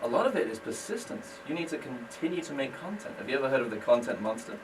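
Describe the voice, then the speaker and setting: A man's voice: medium pitch, big pitch swings, fast talker, far from the mic, noisy background. A British man, a business-owner guest on a radio talk show, explaining his work in a friendly, self-deprecating way. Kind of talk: casual radio interview.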